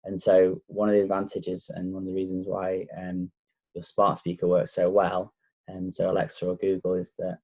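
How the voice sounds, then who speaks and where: A man with a Lancashire accent gives a relaxed, casual tech walkthrough, with frequent ums and pauses. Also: low-pitched, poor audio. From Blackpool, North West England. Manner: relaxed, hesitant with many fillers. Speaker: tech reviewer. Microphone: laptop microphone.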